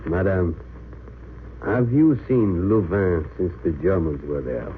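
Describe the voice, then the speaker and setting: Deep, grave resistance man, old radio. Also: big pitch swings, slow, exaggerated voice. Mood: sorrowful. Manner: courteous, grave, earnest. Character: grave, pleading Belgian resistance man, a bereaved father. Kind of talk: 1930s-40s radio drama acting. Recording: tinny, hissy old radio recording.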